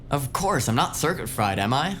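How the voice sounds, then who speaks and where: A man's voice: mid-range voice, big pitch swings, normal speed, a bit bright, slight background noise. A man acting as a cocky male cyberpunk hacker with a mid-range voice. He is flippant and slangy, a streetwise sidekick in an audio drama, heard over light background ambience. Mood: teasing, brisk.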